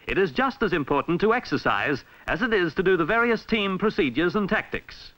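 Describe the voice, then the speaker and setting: A man with an Australian accent reading a scripted 1960s instructional film narration in a formal, measured, emphatic way, on a vintage film soundtrack. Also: fairly high pitch, pushed and bright, low-quality recording.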